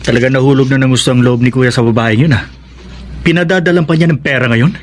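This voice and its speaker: A man's voice: medium pitch, fairly even intonation, quick pace, close to an everyday voice. A man playing a concerned, disapproving father in a Filipino radio drama, speaking in exclamations. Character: concerned father, disapproving and protective of his brother.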